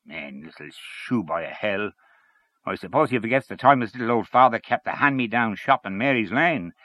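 A man's voice: lowish voice, animated, moderate pace, pushed and bright, no echo, pitched above the performer's own voice. A man voicing a blustering, scornful middle-aged man who grumbles and gossips.

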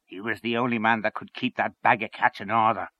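A man's voice: fairly low pitch, fairly even intonation, a little fast, muffled recording, lightly acted. A man voicing a blustering, opinionated political canvasser in a fierce, emphatic, colloquial manner, in a story reading. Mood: fierce, rousing.

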